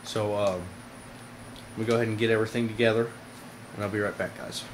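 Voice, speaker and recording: A man with a slightly low voice talks viewers through a product demonstration in a casual, informal way. His delivery halts and pauses as he goes. It sounds like an amateur home camera recording with light background noise. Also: little pitch movement. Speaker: product reviewer, video host. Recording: noisy amateur camera audio.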